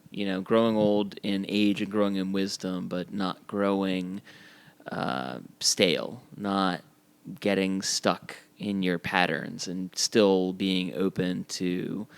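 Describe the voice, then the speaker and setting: A man's voice: low-pitched, creaky, smooth. A man speaking as a band musician and songwriter in a casual podcast chat, earnest and prone to casual swearing.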